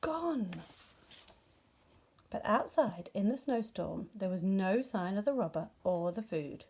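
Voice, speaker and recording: Female children's story narrator: expressive, animated pitch, warm and rounded tone. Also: normal speed, husky. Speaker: storybook reader.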